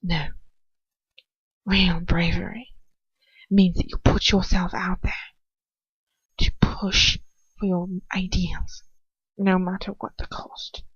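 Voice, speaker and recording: A smug, cold aristocratic wizard lectures in a condescending tone, as a woman reads his lines. His words come slow and pointed, with a dry, muffled sound. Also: fairly low pitch, little pitch movement, slightly rough, no room sound, exaggerated voice. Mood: hushed.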